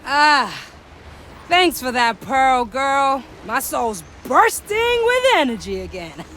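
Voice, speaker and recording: An actress playing a quirky, cackling soul botanist who is half bush, cheeky and drawling in a video game. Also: female voice, high voice, sing-song, takes it slowly, a bit bright, a little hiss or hum, exaggerated voice. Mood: delighted. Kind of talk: video game character acting.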